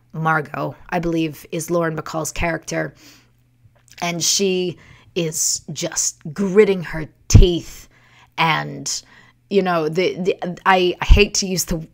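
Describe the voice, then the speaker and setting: A woman hosting a casual livestream in a smoky, worn day-after voice, rambling haltingly through anecdotes. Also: low voice, creaky. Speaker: livestream host.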